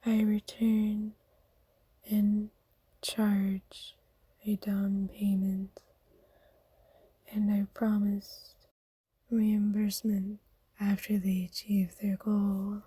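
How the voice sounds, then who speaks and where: Woman playing an ancient kindly vampire, very slow, breathy whisper, doting, formal, ASMR roleplay. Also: medium pitch, completely flat delivery, mellow, no echo, exaggerated voice. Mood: calm, genteel. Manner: slow, tender, formal wording. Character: centuries-old wealthy vampire, kind, doting, genteel owner.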